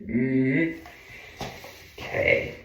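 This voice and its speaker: A man reading a children's book aloud in a deliberate way, adding casual, chatty asides and murmured mm-hmms. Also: mid-range voice, vocal fry, noisy background. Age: adult. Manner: slow, deliberate delivery with frequent murmured mm-hmms. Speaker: reader of a children's picture book. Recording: very echoey room, far from the mic.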